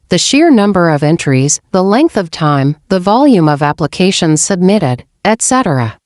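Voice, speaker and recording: A woman hosting a channel, reading an informational script in a steady, measured way. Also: on the low side, no room sound, clean studio sound. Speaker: channel host.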